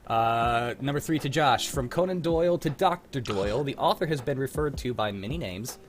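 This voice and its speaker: A man's voice: medium pitch, expressive, normal speed, slight background noise. A man hosting a casual livestream, chatting informally with friends and running a trivia game in an easygoing way. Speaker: host and quizmaster.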